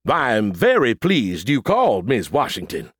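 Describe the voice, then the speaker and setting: A man voicing a prankster who poses as a lecherous Southern pastor, in a deepened, drawling, exaggerated Southern accent. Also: wildly swooping pitch, a bit bright, dry recording, studio quality, exaggerated voice. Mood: smarmy courtesy. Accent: exaggerated American Southern. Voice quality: put-on deepened voice. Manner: slow, drawling, smarmy.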